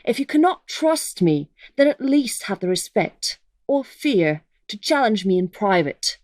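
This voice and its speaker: A woman's voice: medium pitch, big pitch swings, unhurried, a little mellow, no echo. A woman playing a resolute, guarded young noblewoman warrior in an audio drama, speaking tersely and seriously. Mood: commanding.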